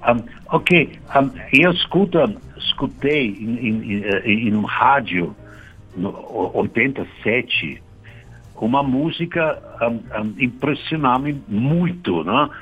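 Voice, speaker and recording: A man who is not a native speaker, a guest musician in a radio interview, talking haltingly and searching for words. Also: medium pitch, animated, slightly rough, soft background music. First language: not Portuguese. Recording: dull radio broadcast sound.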